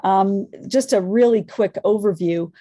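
A woman's voice: mid-range voice, measured pace, warm tone, dry recording, studio quality. Earnest woman presenting her research. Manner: earnest, enthusiastic, thinks aloud. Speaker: academic panelist. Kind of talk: academic conference presentation.

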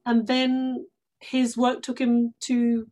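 Interview guest: female, middle-aged, London English accent, slightly high voice, informal storytelling delivery. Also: monotone, slow, dark tone. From London, England. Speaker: interview guest, actress and theatre workshop director. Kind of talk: casual conversational storytelling.

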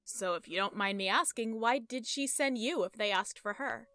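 A proud, kind-hearted young woman scientist with a short fuse. Her voice is clearly bright and fairly high. Faint music sits behind this audio drama performance. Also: moderate pace, no echo, clean studio sound. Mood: warm, friendly. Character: young woman biologist, proud, quick-tempered but kind.

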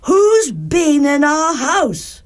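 A woman's voice: high-pitched, sing-song, very slow, voice pushed up, exaggerated voice. A woman voicing a genteel, motherly female bear in a children's storybook, prim, fluttery and fussy. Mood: flustered.

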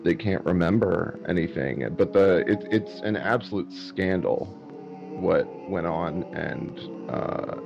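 Male, low and gravelly, halting delivery, muffled with music. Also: animated. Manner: halting, hesitant, many pauses and fillers. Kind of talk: casual conversation. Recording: muffled recording with clear music behind.

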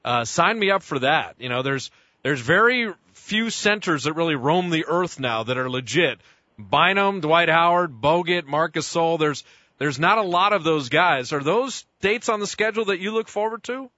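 A man hosting sports radio speaks in a bright, fairly high voice that sounds a bit muffled and dry. His questions ramble along with plenty of restarts and fillers. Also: expressive, moderate pace, dry recording. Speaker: radio sports show host. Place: radio studio.

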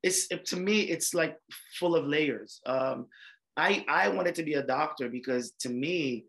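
A man's voice: on the high side, measured pace, fairly bright tone. A man, an emergency doctor telling his life story in a casual interview, rambling with many fillers and restarts. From Haiti. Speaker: emergency medicine doctor, guest.

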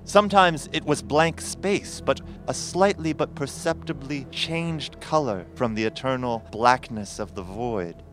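An actor playing a grave, formal professor who narrates a horror audio drama in a measured, literary way. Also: male voice, medium pitch, fairly bright tone, faint music behind, natural voice. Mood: measured, foreboding.

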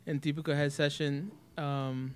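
A man's voice: on the high side, flat delivery, slow pace, smooth. A man, a musician guest on a radio show, answering in hesitant Spanish with restarts and bits of mixed in. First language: English. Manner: hesitant, restarts words, mixes in English. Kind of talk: casual radio interview. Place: radio studio.

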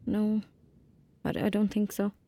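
A woman's voice: mid-range voice, completely flat delivery, measured pace, mellow. A defiant, dreamy young Irish girl. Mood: shaken, defensive. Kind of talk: audio drama acting.

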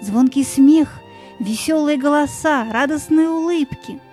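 A woman narrates a scripted fairy tale in a mellow, fairly high voice. The sound is dry and close, with faint music behind her. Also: unhurried.

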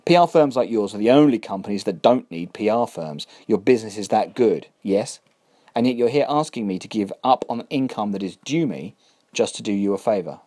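A man's voice: fairly low pitch, animated, fairly quick, mellow. A man voicing a smug, wealthy male landlord who speaks coldly and cuttingly in a storybook reading. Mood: condescending.

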